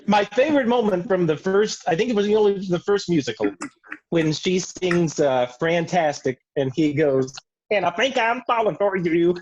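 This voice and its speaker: A cast member chatting casually on a group video call, playful and halting while answering questions. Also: mid-range voice, a little mellow, dull audio, a little hiss or hum. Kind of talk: casual chat, anecdotes.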